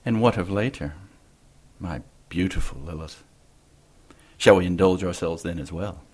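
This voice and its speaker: Deep, husky, suave monsignor. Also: male voice, a little slow, a little mellow. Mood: flirtatious. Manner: courtly, formal. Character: suave, flirtatious, worldly male monsignor.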